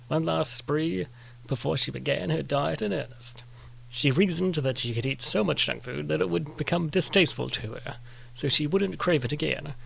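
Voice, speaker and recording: A man with a fairly high, creaky voice reads scripted story narration. He works as a narrator, with faint noise behind him. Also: rough recording.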